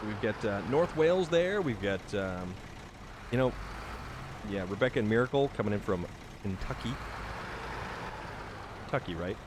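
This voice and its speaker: A man's voice: on the low side, lively intonation, moderate pace, pauses often, background noise. A man from Massachusetts chatting casually with viewers while he plays a driving game, rambling with fillers and thanking people by name. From Massachusetts, New England. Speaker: streamer. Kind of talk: casual live-stream chat while playing.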